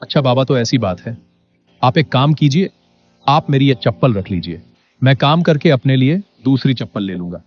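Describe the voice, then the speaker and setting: A man playing an honest, poor villager, earnest and polite, in an animated story. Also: mid-range voice, normal speed, lightly acted. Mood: helpful, concerned. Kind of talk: animated Hindi moral story acting. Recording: dull lo-fi audio with music behind.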